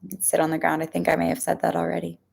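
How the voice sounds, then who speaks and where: A woman leading a guided mindfulness meditation, speaking to listeners in a gentle, reassuring, informal way. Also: on the low side, monotone, very fast, creaky, husky.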